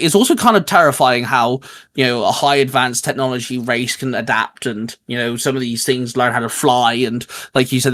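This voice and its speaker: A man's voice: mid-range voice, a bit bright. A man hosting a casual geek chat, rambling along enthusiastically. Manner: rambling, enthusiastic, repeats filler phrases. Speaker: show host.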